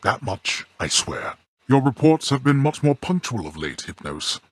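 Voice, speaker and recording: An actor playing a stern, proud old god of the underworld and gruff father in a video game, speaking in a formal, archaic, imperious and curt way with a deep, gravelly, husky voice. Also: sing-song, a little slow, no room sound. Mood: solemn, halting, then formal approval. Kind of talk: video game character acting.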